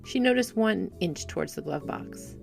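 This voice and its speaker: A woman's voice: on the low side, lively intonation, normal speed, gravelly, a little mellow, soft background music. A woman reading a short story aloud as scripted narration. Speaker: author reading their own short story.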